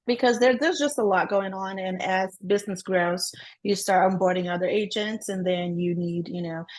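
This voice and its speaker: Upbeat woman guest with a Brazilian accent, mid-pitched, easy laughter. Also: normal speed. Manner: upbeat, laughs often between thoughts. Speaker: guest, transaction coordinator. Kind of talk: casual interview chat.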